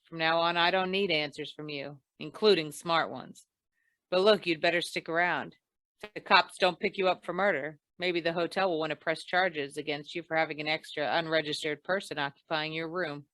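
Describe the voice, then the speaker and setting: A woman playing a tough, wry female insurance investigator in an old-time radio noir, clipped, deadpan and probing. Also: deep voice, normal speed, rough recording. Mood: stern.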